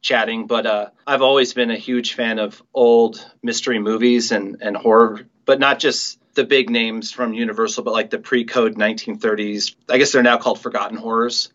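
A man's voice: mid-range voice, fairly even intonation, moderate pace, few pauses, fairly bright tone, highs cut off. A middle-aged man, a writer and director, telling stories casually as an interview guest.

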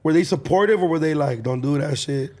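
A man's voice: fairly high pitch, fairly quick, a little mellow. A man of twenty-two interviewing a guest, asking slangy questions and joking around. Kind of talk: casual interview chat.

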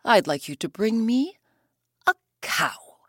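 A woman doing a jealous storybook king who speaks in haughty, commanding bursts. He leans hard on his words, slow and loud, with a voice that rises and falls a lot. The sound is close and dry. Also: a little high, big pitch swings, dry recording, voice pushed up, heavily acted. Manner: haughty, demanding, snappish. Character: proud jealous man, a king.

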